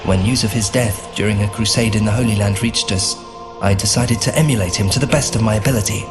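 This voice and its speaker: An actor playing an earnest, devout young Templar knight in a dramatic game story, speaking in a solemn, formal way. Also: male voice, fairly low pitch, fast, natural voice. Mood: solemn, reflective. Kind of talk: dramatic scripted game narration and dialogue. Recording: loud music over the voice. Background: loud music.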